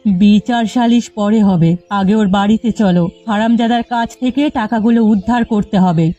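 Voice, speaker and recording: A woman's voice: medium pitch, steady tone, normal speed, warm tone, dull audio, close to an everyday voice. A woman voicing a bossy, determined village housewife in a cartoon, with music underneath. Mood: indignant. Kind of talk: Bengali cartoon voice acting.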